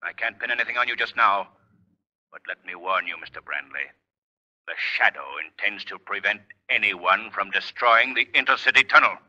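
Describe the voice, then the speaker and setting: An actor playing a menacing invisible male crimefighter in a 1930s radio drama, commanding, taunting and deliberate, on a muffled old recording. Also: fairly low pitch, pushed and bright. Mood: stern, threatening.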